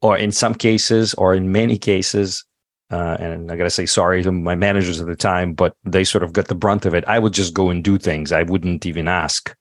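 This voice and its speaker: A middle-aged man whose first language is not English talks through his answers in an easygoing way, stopping often on fillers and restarts. His voice sits very low. The sound is dry and close, with no room echo. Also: fairly even intonation, moderate pace. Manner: thoughtful, many fillers and restarts. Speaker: podcast guest. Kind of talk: casual interview talk.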